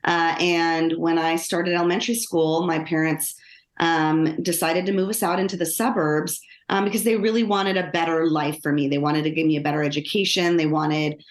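A woman's voice: low voice, fairly even intonation, moderate pace. A middle-aged woman with an American accent, telling her own story conversationally as an interview guest. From Los Angeles, United States. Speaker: podcast guest, lawyer and author. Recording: roomy, echoing sound.

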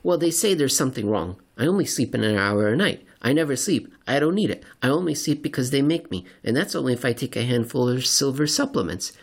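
A man's voice: mid-range voice, lively intonation, brisk, hardly any pauses, husky. A man voicing a crazed, sleepless male conspiracy theorist in an audio story, rambling and earnestly paranoid. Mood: manic, earnest. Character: crazed sleepless conspiracy-obsessed male spaceship companion, manic.

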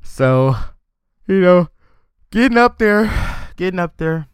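A man in his thirties hosting a podcast, telling stories in a relaxed, rambling way with lots of fillers. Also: high-pitched, slow pace, clean tone, dry recording, clean studio sound. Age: 30s. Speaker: podcast host. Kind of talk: casual chat.

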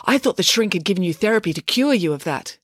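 A woman's voice: medium pitch, lively intonation, fast talker, no room sound, clean studio sound, voice pushed up, lightly acted. A woman voicing a snide, dismissive young girl in a storybook. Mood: taunting.

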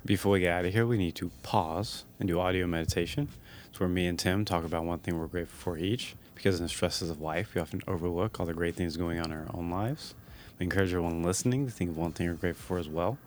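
A man's voice: low-pitched, fast talker. A man co-hosting a casual podcast, chatty and upbeat, laughing easily as he shares stories. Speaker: podcast co-host.

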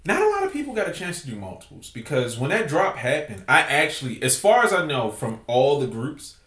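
A man hosting a casual podcast, talking in a loud, profane, joking way. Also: mid-range voice, huge pitch swings, brisk, roomy sound. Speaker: podcast host.

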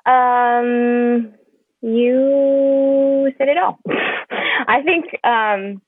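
A woman's voice: fairly high pitch, slightly rough, no echo. Woman from Palestine on a tinny line. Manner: hesitant, halting, with many fillers and restarts. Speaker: guest. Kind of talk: conversational interview.

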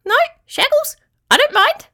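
A woman voicing a small, shy male yabby in a squeaky little storybook voice with clipped, childlike replies. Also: very animated, measured pace, pushed and bright, full character voice. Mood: cheeky, curt.

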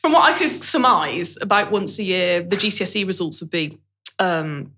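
Candid British woman, lower-pitched voice. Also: animated, normal speed, bright, forceful tone. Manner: candid, colloquial, self-deprecating. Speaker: interview guest, business owner. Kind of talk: conversational personal career story.

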